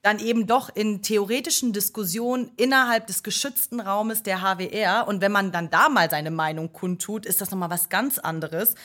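A young woman hosting a podcast. She talks in a chatty way, often laughs, and asks long, winding questions at an even middle pitch. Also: moderate pace, hardly any pauses. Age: young adult. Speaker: podcast host. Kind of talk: podcast interview.

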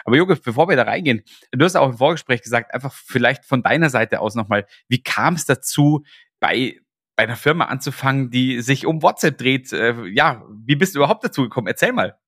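A man's voice: medium pitch, expressive, brisk, a bit bright, husky, no room sound, clean studio sound. A man hosting a podcast interview, talking with enthusiasm and plenty of fillers and restarts. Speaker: podcast host.

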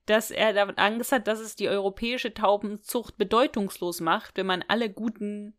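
A woman's voice: mid-range voice, fairly even intonation, moderate pace, fairly bright tone. A woman co-hosting a podcast in casual chat, explaining and correcting in a matter-of-fact way. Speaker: podcast co-host. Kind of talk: casual chat, retelling a story.

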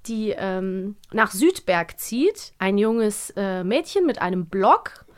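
A woman co-hosting a chat podcast, her voice sitting in an ordinary middle pitch. She talks loosely and casually, drops slangy words and pauses on fillers as she thinks. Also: animated, clean tone. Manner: chatty, casual slang, frequent hesitation fillers. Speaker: podcast co-host.

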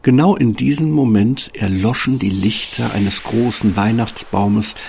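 A man's voice: lowish voice, a little slow, vocal fry, darkish tone, slight background noise. A man narrating a fairy-tale audio drama in a scripted storytelling style. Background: music.

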